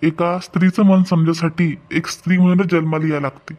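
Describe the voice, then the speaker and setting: Man playing a middle-aged village dad, fairly high voice, Varhadi Marathi accent, practical, folksy, cartoon skit. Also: little pitch movement, brisk, a little mellow, muffled recording, lightly acted. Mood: reflective. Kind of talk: homemade cartoon family skit acting.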